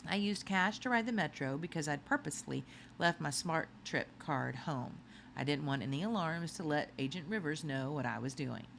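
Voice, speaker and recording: Low-voiced woman reading a story expressively. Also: normal speed, creaky, a little hiss or hum. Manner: expressive storytelling with acted dialogue. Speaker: audiobook reader. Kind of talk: scripted narration of a children's novel, read aloud.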